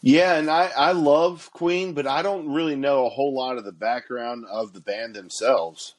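A man's voice: medium pitch, normal speed. Male podcast host asking easygoing questions. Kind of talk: casual podcast interview.

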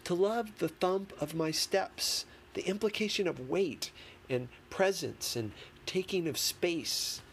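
A man reading a memoir as an audiobook, in a deliberate, emphatic manner with dramatic pauses. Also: high-pitched. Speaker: audiobook reader. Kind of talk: expressive scripted narration of a memoir. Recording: clearly noisy background.

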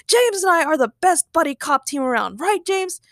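A woman's voice: sits high, animated, moderate pace, dry recording, studio quality, pitched above the performer's own voice. A woman voicing a jealous, competitive male cop in a story, blustering and indignant.

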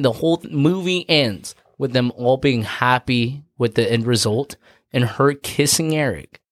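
A man hosting a casual podcast, explaining at length in an animated way, cursing freely. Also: mid-range voice, dry recording, clean studio sound. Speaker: podcast host.

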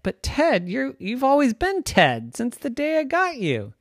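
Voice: high voice, wildly swooping pitch, measured pace, no echo, studio quality, pitched above the performer's own voice, full character voice. A storyteller voicing a kind, bewildered pet owner who is surprised and apologetic toward the pets.

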